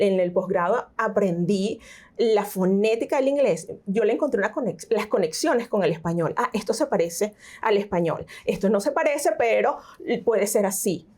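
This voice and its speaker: A middle-aged woman with a Venezuelan accent, a teacher giving an interview and explaining reading and pronunciation with lively examples. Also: mid-range voice, moderate pace. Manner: explanatory, animated, frequent fillers. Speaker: teacher and tutor, interview guest. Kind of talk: conversational interview.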